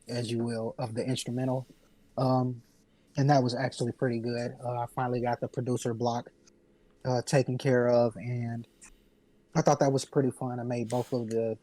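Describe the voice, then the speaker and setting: Halting man in a dry recording. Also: medium pitch, mellow, dry recording, poor audio. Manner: halting, pausing between short phrases. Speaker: podcast panelist, music producer. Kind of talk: casual chat.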